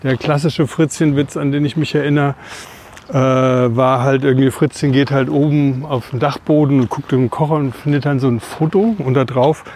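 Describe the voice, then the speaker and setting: A man's voice: medium pitch, steady tone, moderate pace, darkish tone, slight background noise. Chatty man telling anecdotes. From southern Hesse, Odenwald. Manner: rambling anecdotal storyteller. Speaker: podcast co-host. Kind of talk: casual conversation.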